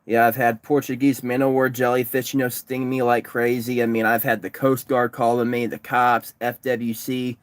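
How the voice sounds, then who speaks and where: A man's voice: medium pitch, flat delivery, moderate pace, few pauses, smooth, no room sound. Rambling, worn-out man. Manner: rambling, repeats himself, frequent restarts. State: exhausted, sunburned. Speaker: livestreamer, distance swimmer. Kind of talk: casual livestream chat reading comments. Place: parked car.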